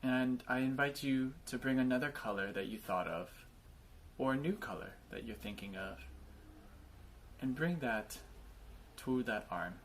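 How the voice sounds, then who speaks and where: A man leading a guided body scan meditation, speaking in gentle invitations with frequent pauses. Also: medium pitch, lively intonation, a little hiss or hum.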